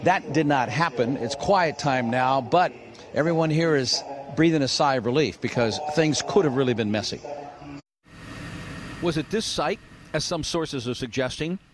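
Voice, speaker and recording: A man's voice: mid-range voice, moderate pace, noisy background. An older man with an American accent reporting as a television news correspondent on a handheld microphone. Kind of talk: live news report and scripted narration. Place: airport terminal and curbside.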